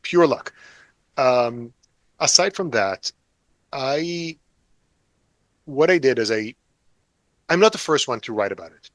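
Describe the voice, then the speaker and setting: A man in his forties, chatting as an interview guest with a dry, self-mocking wit. His voice sits at an ordinary middle pitch and sounds close and dry, with no room around it. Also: lively intonation, slow pace, pauses often, dry recording. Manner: wry, self-deprecating, joking. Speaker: author guest. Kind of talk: casual interview chat.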